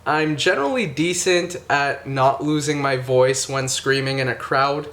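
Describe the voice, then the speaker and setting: A man's voice: medium pitch, unhurried, a bit bright. A man hosting a vlog, talking casually and enthusiastically to his viewers about a concert he went to. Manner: enthusiastic, grateful, friendly. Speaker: YouTube reaction channel host. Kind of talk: casual vlog recap to camera.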